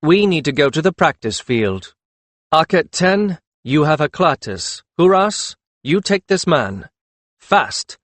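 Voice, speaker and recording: A man voicing a decisive young male leader of dragon riders in a fantasy audiobook, enunciating deliberately as he directs others. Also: a little high, big pitch swings, fairly bright tone, no room sound, pitched above the performer's own voice, full character voice. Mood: urgent, commanding. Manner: careful, deliberate enunciation, giving orders.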